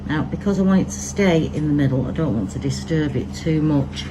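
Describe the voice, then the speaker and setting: A woman with a Manchester accent and a lowish voice talks her way through a hands-on resin tutorial. She chats easily and thinks aloud as she works. Steady background noise and passing traffic sit under her voice. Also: a little fast. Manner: chatty, thinks aloud while working. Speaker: resin crafter demonstrating.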